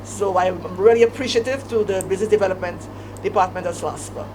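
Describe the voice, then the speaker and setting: Saint Lucian woman over clear background noise. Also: medium pitch, fairly quick. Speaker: panelist, air traffic services manager. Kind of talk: informal panel remarks.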